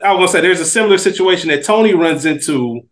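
A man's voice: fairly high pitch, expressive, fast, a bit bright. An American man co-hosting a casual TV recap podcast, talking in slang and repeating phrases for emphasis. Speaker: podcast co-host.

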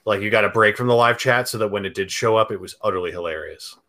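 A man co-hosts a casual film chat and talks in a hesitant way, full of ums and restarts. His voice sits a little low but sounds bright. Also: fast talker. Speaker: podcast and stream co-host.

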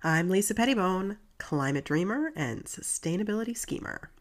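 A woman's voice: medium pitch, expressive, normal speed. A woman with a stuffy, congested voice from a cold, hosting a solo podcast in a chatty, earnest way, with asides between facts. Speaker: podcast host.

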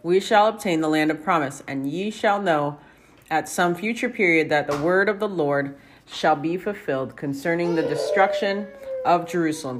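A woman's voice: deep voice, slight background noise. A woman reading scripture aloud in a plain style, as a family member taking a turn.

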